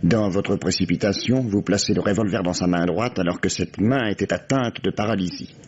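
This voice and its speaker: Police commissioner male actor: very low voice, shrewd, formal, world-weary, deliberate pauses, old French radio drama with muffled sound. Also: a little mellow, close to an everyday voice. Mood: grave. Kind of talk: vintage French radio mystery acting. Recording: dull, band-limited old radio recording.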